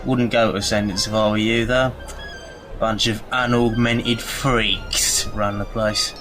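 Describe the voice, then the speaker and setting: A man's voice: lowish voice, little pitch movement, measured pace, music in the background, close to an everyday voice. An actor playing a cheeky, flippant augmented man in an audio drama. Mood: cocky. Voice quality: chatty, cocky.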